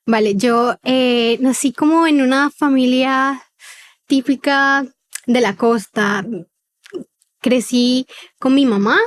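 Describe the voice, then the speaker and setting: A young woman with a fairly high voice and a neutral Colombian accent touched by a paisa lilt. She speaks as an interview guest, conversational and a little hesitant, pausing as she goes. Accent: neutral Colombian with a slight paisa lilt. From Cartagena, Colombia. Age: 20s. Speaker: podcast guest. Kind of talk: personal testimony in conversational interview.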